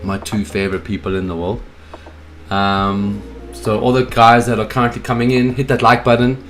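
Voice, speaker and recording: A young man with a hoarse, froggy voice from a cold, hosting a casual live stream from his home office, hyped up and greeting viewers in the chat by name. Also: on the low side, flat delivery, normal speed, a bit bright, faint music behind. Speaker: streaming host.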